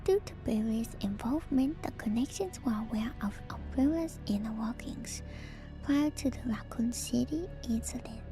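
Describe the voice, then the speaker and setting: A woman streamer doing a whispered ASMR reading with casual commentary, musing in a hushed, drawn-out way. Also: a little high, animated. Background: background music.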